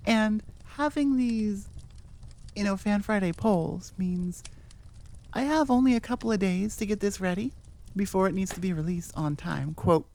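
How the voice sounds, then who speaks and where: A woman's voice: mid-range voice, normal speed, a little mellow. A woman who makes audio roleplays, chatting informally and without a script to her listeners in a rambling way. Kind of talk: casual unscripted chat to listeners.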